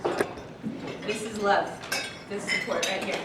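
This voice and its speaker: A woman's voice: mid-range voice, steady tone, unhurried. A woman making an earnest, heartfelt appeal to a club meeting. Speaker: club member. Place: banquet room with dishes clinking. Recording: echoey room from far off, loud noise.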